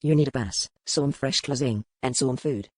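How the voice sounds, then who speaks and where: Man as suave French merchant, French accent, probing, condescending, historical audiobook drama, mid-pitched, dry sound. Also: normal speed, no room sound, lightly acted. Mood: coolly commanding.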